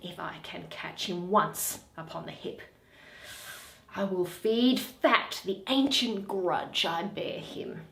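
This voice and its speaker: A woman's voice: on the low side, lively intonation, slow, gravelly, fairly bright tone, voice pushed down, full character voice. A woman voicing a shrewd, bitter male moneylender in a Shakespeare play, drawing out and weighing each phrase. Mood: bitter, hateful. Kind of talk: dramatic Shakespeare reading. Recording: roomy echo.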